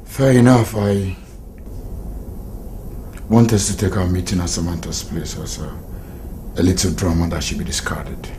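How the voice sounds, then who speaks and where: An actor playing a young doctor in a film drama, accusing and shouting in heated argument. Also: male voice, low-pitched, fairly even intonation, normal speed, pauses often, background noise, close to an everyday voice. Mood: angry. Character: angry young male doctor. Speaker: doctor character. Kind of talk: Nollywood film acting. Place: indoor room, film set. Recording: film soundtrack with loud music.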